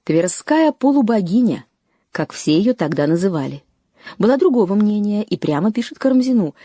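A woman reading a scripted documentary narration in a measured way, lifting her voice on key phrases. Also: medium pitch, big pitch swings, warm tone, dry recording, clean studio sound.

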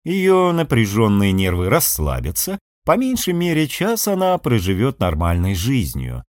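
A man voicing a sixty-year-old ship's doctor in an audiobook, dryly ironic, with a measured clinical manner. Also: medium pitch, huge pitch swings, no echo, clean studio sound, voice pushed down. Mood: deadpan diagnosing.

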